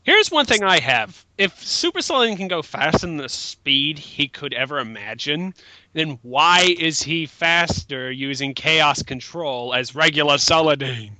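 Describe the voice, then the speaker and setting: Bright, lively, mocking man. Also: a little high, a little slow, no room sound, rough recording. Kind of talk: casual group commentary.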